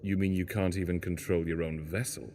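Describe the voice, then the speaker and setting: A man playing a scruffy, sharp-witted castaway from a crashed spaceship in a fan sci-fi audio drama, guarded and probing in manner. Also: sits low, flat delivery, a little fast, a little hiss or hum. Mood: baffled, curious. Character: scruffy stranded male alien spaceship crewman, wary, sharp-witted.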